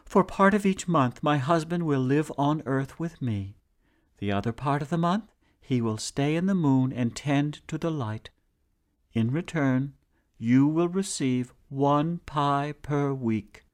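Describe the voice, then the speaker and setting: A man's voice: expressive, a little slow. A male storyteller voicing a brave, clever wife who bakes pies, in a raised voice. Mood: firm. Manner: high-pitched, pleading then firm. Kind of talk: storytelling performance.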